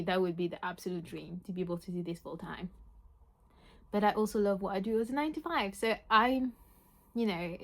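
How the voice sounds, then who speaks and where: A young woman whose first language is French, with an accent that mixes French and Yorkshire, chatting excitedly in a book vlog and saying "like" often. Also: mid-range voice. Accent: French mixed with Yorkshire. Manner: chatty, says "like" a lot, excitable. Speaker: book vlogger.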